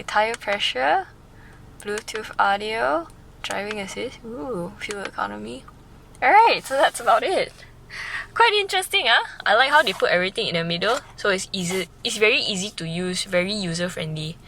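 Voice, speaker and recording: Young woman as a chatty car reviewer, medium pitch, faint background hum. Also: creaky, rough recording. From Malaysia. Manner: chatty and excitable, with bursts of delight. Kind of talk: casual car walkaround review. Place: in and around a car.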